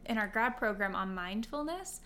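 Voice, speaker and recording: A young adult woman from Kentucky and Ohio, co-hosting a podcast with animated, playful chat and quick laughter. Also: mid-range voice. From Kentucky and Ohio, USA. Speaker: podcast co-host. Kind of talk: casual chat.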